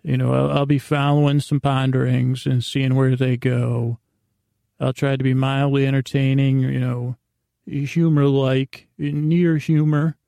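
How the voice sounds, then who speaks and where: A man hosting a bedtime podcast in a rambling, improvised monologue, halting and meandering with stammered restarts, self-deprecating and gently reassuring, in a gravelly voice. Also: medium pitch, flat delivery, dry recording, clean studio sound. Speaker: podcast host.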